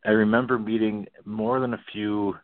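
A young man hosting a baseball talk show, eager and halting, with many restarts and nervous laughs. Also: lowish voice, level tone, no echo. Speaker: podcast host. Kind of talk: casual interview. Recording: tinny phone-quality line, dry.

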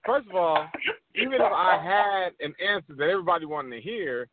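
A quick, fairly high-voiced man chatting casually, rambling and restarting as he walks. His voice comes through a tinny, phone-like line. The sound is dry and close. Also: expressive, no room sound. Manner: rambling storyteller, many restarts. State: walking while talking. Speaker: podcast co-host. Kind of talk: casual chat.

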